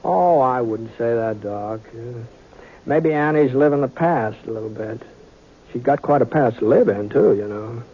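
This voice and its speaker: An actor playing an easygoing, reluctant western drifter, mild, hesitant and folksy, in an old radio drama. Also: male voice, mid-range voice, moderate pace, husky, highs cut off. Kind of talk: old-time radio western acting and first-person narration. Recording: thin, noisy classic radio recording with clicks.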